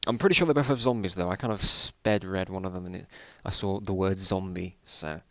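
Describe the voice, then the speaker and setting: A man's voice: deep voice, brisk, pushed and bright, no echo. A man reading his own old stories aloud in a casual dramatic style, with self-mocking, bemused asides.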